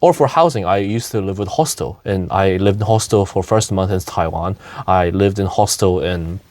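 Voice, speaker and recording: A man's voice: low voice, moderate pace, darkish tone, dry recording. A young man from South Korea, hosting a casual talk, who laughs often and talks with many fillers and restarts. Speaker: podcast host. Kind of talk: casual conversation.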